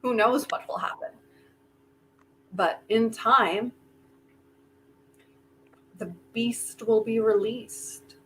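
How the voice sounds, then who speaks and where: A woman playing a grave, conflicted human who holds apocalyptic power, speaking deliberately and philosophically in a play reading. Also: on the high side, rough recording. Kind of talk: virtual staged play reading.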